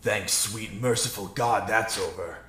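A man's voice: fairly low pitch, measured pace, very noisy, natural voice. A man voicing an arrogant, impatient alien invader in a parody anime dub, blunt, callous and mocking. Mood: smug.

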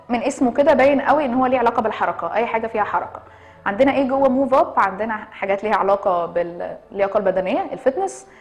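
A woman in her thirties with an Egyptian accent, explaining things in an interview with emphatic repetition and English words mixed in. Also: mid-range voice. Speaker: dance and fitness studio co-founder, interviewee. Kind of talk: interview talk to camera, mixing in English words. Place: small room indoors.

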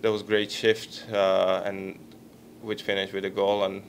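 Flat-voiced Czech-accented young man. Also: low-pitched, slight background noise. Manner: halting, searching for words, very flat delivery. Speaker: hockey player at a postgame press conference. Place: press conference room. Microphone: table microphone.

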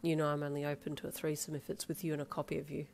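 A woman's voice: deep voice, completely flat delivery, rapid-fire, creaky, darkish tone, faint music behind. A woman voicing a nonchalant young boyfriend in an audio story, with dry, teasing delivery. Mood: amused. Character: laid-back, teasing young boyfriend, smirking and unfazed. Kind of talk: acted audio story dialogue.

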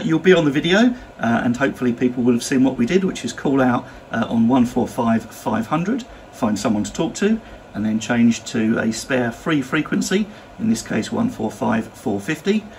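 A man's voice: mid-range voice, gravelly, a little hiss or hum, low-quality recording. A British man giving an instructional demonstration as an amateur radio operator and trainer. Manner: spells call signs in phonetic alphabet.

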